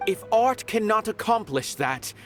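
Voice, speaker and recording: A haughty, eloquent art lover in a video game. The delivery is theatrical and grandiloquent, swinging widely in pitch over clearly audible music. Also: male voice, big pitch swings, unhurried. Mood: impassioned. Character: haughty, eloquent male art connoisseur and performer.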